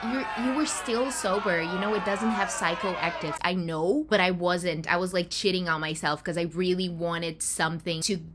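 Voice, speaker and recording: A woman's voice: fairly low pitch, steady tone, normal speed, hardly any pauses, background noise. A woman in her twenties from Brazil with a Brazilian accent, talking casually in a confessional vlog, chatty and rambling with lots of asides. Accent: Brazilian Portuguese. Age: 20s. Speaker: vlogger.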